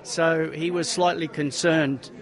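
A man's voice: fairly high pitch, steady tone, takes it slowly, crowd noise. Male driver, chatty anecdotal interview guest, loose and rambling delivery. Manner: rambling anecdotes with many restarts. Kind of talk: casual storytelling interview.

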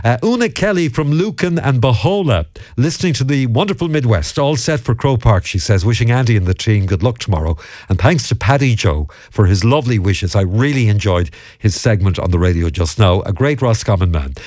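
A man's voice: medium pitch, lively intonation, brisk, hardly any pauses, darkish tone, no room sound. A man hosting a radio talk show from a studio, chatting with callers and reading out listener messages. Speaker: radio presenter.

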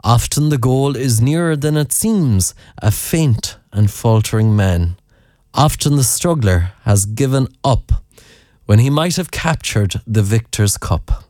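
A man's voice: medium pitch, lively intonation, unhurried, darkish tone, no echo, studio quality. An Irish man presenting a radio programme, chatting in a rambling way with fillers and self-corrections, and reading out a listener's poem. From Ireland. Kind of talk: late-night radio chat and poem reading.

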